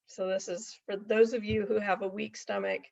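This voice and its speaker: A woman's voice: medium pitch, flat delivery, moderate pace, vocal fry, a little mellow. A middle-aged woman telling a casual story in a folksy, chatty way, full of asides and check-in questions. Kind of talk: casual anecdotal storytelling to an audience. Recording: dull lo-fi recording.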